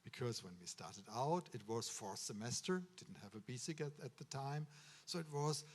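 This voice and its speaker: A man with a German accent, a medical school official giving a ceremony speech to students in an earnest, advising way, often pausing on uh and restarting words. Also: medium pitch, lively intonation, darkish tone. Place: ceremony hall.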